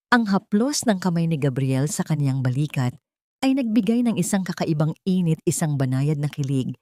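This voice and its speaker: Female storyteller, Filipino accent, low register, solemn and sentimental scripted narration, dry sound. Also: darkish tone, no echo.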